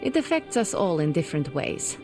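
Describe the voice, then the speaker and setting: Wry, expressive mutant heroine. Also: female voice, sits low, brisk, over music. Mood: composed, wry. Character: composed wry female mutant superhero. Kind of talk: dramatic video game acting.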